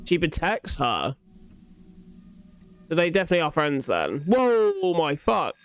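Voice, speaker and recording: A young man with a slightly high voice reacts to what he is watching. He talks casually and informally, thinking aloud and swearing freely as he comments. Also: wildly swooping pitch, measured pace, clear, resonant tone. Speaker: reaction video host.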